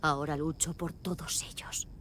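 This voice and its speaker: Determined female investigator, deep register, direct and resolute, video game drama, slight background noise. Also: fairly even intonation, normal speed, fairly bright tone. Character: determined female investigator, a protective, grieving mother. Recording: faint background rumble and noise.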